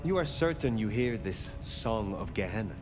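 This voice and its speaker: A man's voice: on the low side, lively intonation, music in the background, lightly acted. Man playing a composed, formal vampire sheriff. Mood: composed inquiry. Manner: measured, formal, probing. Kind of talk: video game dialogue acting.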